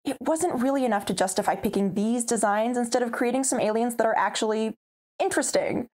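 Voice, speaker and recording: A young woman reads out her opinionated episode review in a wry, conversational way. Her voice sits a little high. She is recorded at home on a mic just off camera. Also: a little mellow. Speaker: video reviewer. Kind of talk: scripted review narration. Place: home room with a starry backdrop.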